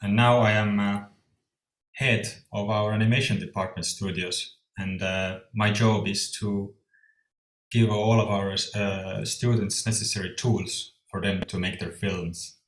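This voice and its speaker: A man's voice: lowish voice, level tone, measured pace. A man who heads the department studios, introducing himself plainly and briefly at an online info session over a video call. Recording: echoey room on a call.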